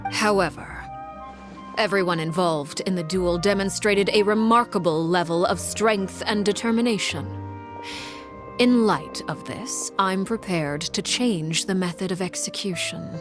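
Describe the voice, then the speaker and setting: A woman playing a cold, commanding leader known as a father figure, speaking in a deliberate, formal, controlled way in a video game. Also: mid-range voice, music in the background, natural voice. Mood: cold, solemn.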